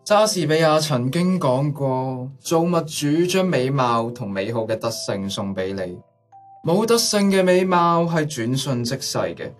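Very low, distant, suave older brother. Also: female voice, animated, a little slow, soft background music, heavily acted. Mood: suave, flattering. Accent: Hong Kong Cantonese. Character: young older brother, suave and theatrically flattering. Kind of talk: radio drama acting.

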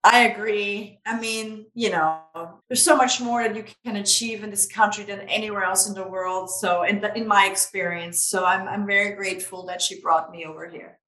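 A woman's voice: mid-range voice, fairly even intonation, normal speed, few pauses, fairly bright tone. A woman from Austria, a native German speaker, giving casual, explanatory interview answers as a business founder, peppered with "you know". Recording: roomy, echoey sound.

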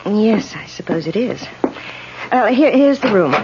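A woman's voice: mid-range voice, animated, a little slow. An actress playing a prim, anxious boarding house landlady, proper and hesitant, in a 1970s radio drama. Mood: flustered. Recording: dull, noisy old radio broadcast.

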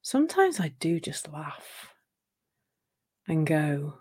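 A woman speaking as a coach in a live talk on relationships, gentle and reflective, her voice a little croaky from a sore throat. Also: sits low, sing-song, takes it slowly.

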